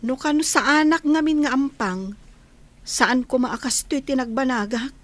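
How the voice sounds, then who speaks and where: High-pitched frustrated young wife played by an actress. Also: female voice, normal speed, lightly acted. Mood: complaining. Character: frustrated young wife and mother wanting work. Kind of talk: Ilocano radio drama acting.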